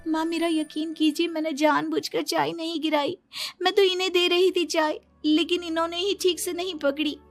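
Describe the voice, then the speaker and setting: Woman playing a high, meek young daughter-in-law. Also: little pitch movement, moderate pace, soft background music. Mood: pleading. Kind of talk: dramatized Hindi moral story for animation.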